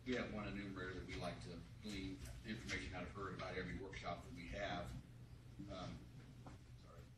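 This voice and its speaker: A man with a very deep, flat voice talks quickly and gives brisk advice from the audience. He sounds far off in an echoey room, with clear background noise. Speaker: workshop attendee. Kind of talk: comment from the audience.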